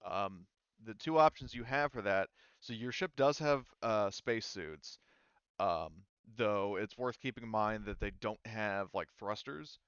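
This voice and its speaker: A man working as game master, casually explaining tabletop game rules in a halting, rambling way. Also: on the low side, fairly bright tone, clear, resonant tone, no room sound, dull audio.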